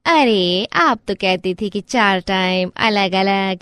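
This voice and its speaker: A woman's voice: mid-range voice, takes it slowly, fairly bright tone, smooth, no echo. A woman playing a nosy, gossipy middle-aged neighbour auntie in a family story cartoon. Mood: prying, surprised. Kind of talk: Hindi family moral-story cartoon acting.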